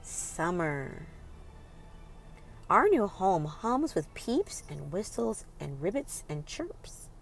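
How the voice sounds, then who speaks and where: A woman's voice: fairly low pitch, big pitch swings, slow pace, creaky, soft background music. A woman hosting a children's read-aloud, reading expressively and putting questions directly to young listeners in an instructive way.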